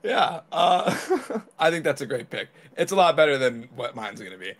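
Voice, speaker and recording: A man's voice: medium pitch, animated, moderate pace, a bit bright. A man chatting casually and informally as a podcast panelist. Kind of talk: casual chat.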